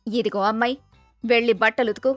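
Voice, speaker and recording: A woman's voice: a little high, steady tone, brisk, fairly bright tone, no echo, soft background music, natural voice. A woman playing a doting, boastful middle-aged mother who scolds in colloquial exclamations, in a dramatised moral story. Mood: bossy, suspicious.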